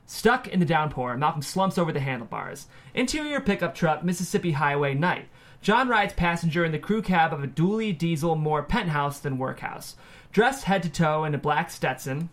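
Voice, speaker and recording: Guy reading screenplay stage directions out loud, voice a bit on the high side. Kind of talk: scripted screenplay narration.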